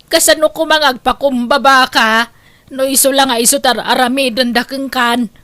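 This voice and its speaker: A woman's voice: high voice, steady tone, normal speed, fairly bright tone, dry recording. A woman playing a sensible, outspoken neighbour who argues back in a comedic drama. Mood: argumentative. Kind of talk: comedic radio-style drama acting.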